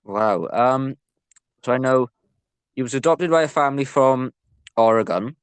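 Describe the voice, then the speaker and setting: A man's voice: medium pitch, animated, measured pace, clear, resonant tone, no echo. Welsh man hosting, close dry sound. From Wales. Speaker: podcast host. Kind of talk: casual interview.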